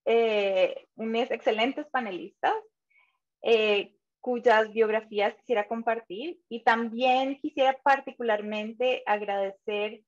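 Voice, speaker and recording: A woman's voice: fairly high pitch, fairly even intonation, slow pace. A woman moderating a formal panel event, introducing the organization in a fluent, polished way over a video call. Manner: polished, fluent, scripted-sounding. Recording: dull lo-fi sound.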